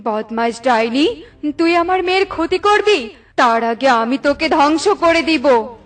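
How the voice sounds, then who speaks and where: A woman's voice: sits high, expressive, measured pace. A woman voicing a dignified, protective fairy queen mother in a children's cartoon, with emphatic delivery. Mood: furious, protective. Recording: music behind the voice.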